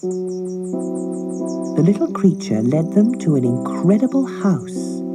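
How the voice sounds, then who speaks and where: A woman storyteller narrating a scripted fairy tale for children in a measured, dramatic way. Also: on the low side, very animated, over loud music.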